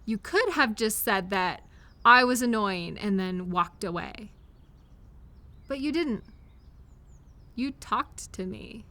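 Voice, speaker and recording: A woman playing an affectionate, reassuring friend or partner in an intimate comfort audio roleplay, speaking gently and directly to the listener. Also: mid-range voice, expressive, takes it slowly, long pauses. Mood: encouraging, tender.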